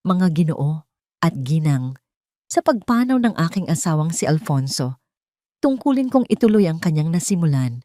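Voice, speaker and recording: A woman's voice: low-pitched, sing-song, normal speed, mellow, no room sound, clean studio sound. A woman with a Filipino accent voicing a power-hungry villainess, sweet but commanding, in an audio story. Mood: smug authority. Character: scheming, power-hungry villainess wife, smoothly authoritative.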